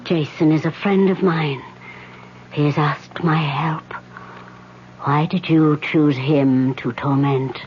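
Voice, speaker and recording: A composed older woman medium in a vintage radio mystery. She is gentle but cautious and speaks with solemn care. The recording is muffled, with a faint bed of noise. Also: deep voice, steady tone, slow pace. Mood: firm, solemn. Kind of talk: old-time radio drama acting.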